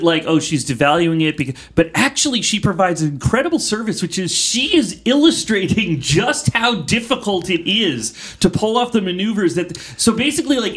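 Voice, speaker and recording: A man co-hosting a podcast, arguing in a casual debate with an animated, enthusiastic manner, often cutting in. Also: high voice. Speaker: podcast co-host.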